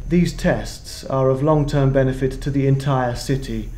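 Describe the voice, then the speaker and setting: A man's voice: mid-range voice, fairly even intonation, warm tone, a little hiss or hum, natural voice. An actor playing a cold, formal corporate spokesman reading an unapologetic propaganda statement in a sci-fi game. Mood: cold, official. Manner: formal, measured, officious. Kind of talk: scripted video message in a sci-fi game.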